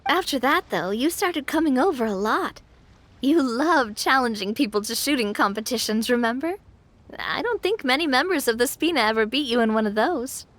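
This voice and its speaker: An actress playing a caring young lady in a video game, expressive and gently teasing with her friends. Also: high-pitched, normal speed. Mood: fondly nostalgic. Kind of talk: scripted game dialogue.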